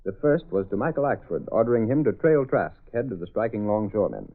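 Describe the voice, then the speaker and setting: A man playing the dramatic narrator of an old radio serial, with measured announcing. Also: on the low side, animated, narrow, tinny audio. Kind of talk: scripted 1930s-40s radio narration. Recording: thin old radio recording.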